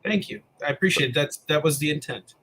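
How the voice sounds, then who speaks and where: A man from the Chicago area, a comics creator talking as a guest in a casual interview, friendly and earnest, often pausing on ums and uhs. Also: on the high side, expressive, pushed and bright, slightly rough.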